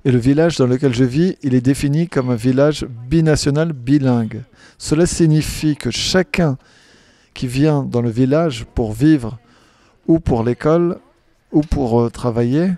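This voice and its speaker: Mellow-voiced male conference interpreter. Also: mid-range voice, no echo. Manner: halting, with restarts and self-corrections. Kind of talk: simultaneous interpretation at a panel.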